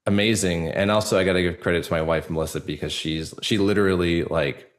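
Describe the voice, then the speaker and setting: A man speaking as a guest in a casual interview, earnest and halting, often repeating words and leaning on fillers. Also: low-pitched, fairly even intonation. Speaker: podcast guest, actor and storyteller.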